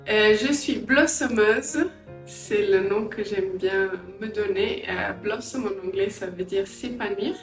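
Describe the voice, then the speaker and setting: A middle-aged woman from Angola and England giving a personal interview, reflective and hesitant, often pausing mid-sentence. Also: mid-range voice. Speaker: interviewee, entrepreneur and language teacher. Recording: roomy echo, distant mic, faint music.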